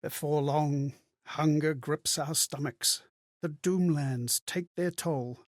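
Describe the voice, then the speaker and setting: An actor voicing an earnest, melancholy male spirit with no memory of his own, speaking a video game monologue in formal, measured, theatrical phrases. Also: fairly high pitch, no room sound, clean studio sound. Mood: bleak. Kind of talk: dramatic scripted game monologue.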